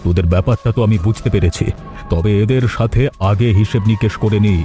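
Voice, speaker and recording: Cartoon merchant patriarch, male actor: middle-aged, authoritative, mellow timbre, stern. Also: lowish voice, fast, faint music behind, natural voice. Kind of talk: Bengali cartoon story acting.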